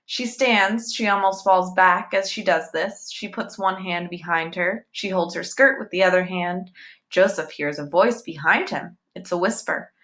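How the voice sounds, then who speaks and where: A woman reading a children's novel aloud in deliberate, simple phrases, as if telling it to young listeners. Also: lowish voice, a bit bright. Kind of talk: read-aloud storytelling with short asides to listeners.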